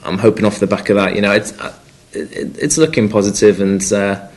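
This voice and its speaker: Man in his 20s, from North Wales, slightly low voice, hesitant, filler-heavy, press conference answers. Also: fairly even intonation, a little fast, darkish tone. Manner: hesitant, many fillers and restarts. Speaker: rugby player.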